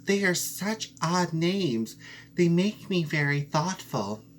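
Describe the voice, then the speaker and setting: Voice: mid-range voice, expressive, slow pace, slight background noise, pitched above the performer's own voice. A performer voicing a small, earnest, suspicious female mouse in a storybook, plaintive and full of questions. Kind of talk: storybook character voice.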